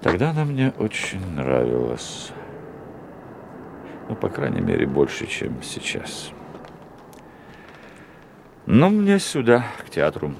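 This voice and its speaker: Sardonic stage actor, really deep, lively intonation, insinuating, radio play. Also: male voice, slow pace, vocal fry, long pauses, background noise, exaggerated voice. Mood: sardonic, reflective. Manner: ironic, insinuating, theatrical.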